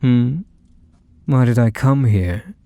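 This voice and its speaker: A possessive mafia boss acted out by a man croons to his lover in an ASMR roleplay. His voice is dark and very unhurried, recorded close and dry. He is teasing and condescending, with menace under the affection. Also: mid-range voice, dry recording. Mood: doting, manipulative. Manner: unhurried, mock-sweet, condescending pet names. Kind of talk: ASMR roleplay, intimate one-sided dialogue.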